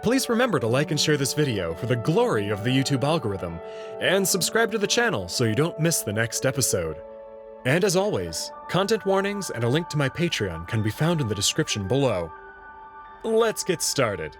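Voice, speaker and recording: A man narrates a visual novel in a scripted storytelling style. His pitch is mid-range and moves in a lively way, with faint music underneath. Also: normal speed.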